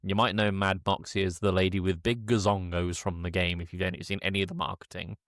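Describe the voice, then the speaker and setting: Halting man with a dry, close sound. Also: deep voice, little pitch movement, a bit bright, no room sound. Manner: halting, hesitant, self-correcting delivery. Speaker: podcast co-host. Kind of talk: casual podcast film recap.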